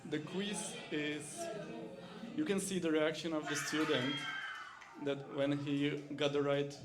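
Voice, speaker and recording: A man's voice: on the high side, level tone, slow pace, loud music behind the voice. A man from the Czech Republic with a Czech accent, a university lecturer giving a teaching demonstration in English, his second language, with frequent fillers and restarts.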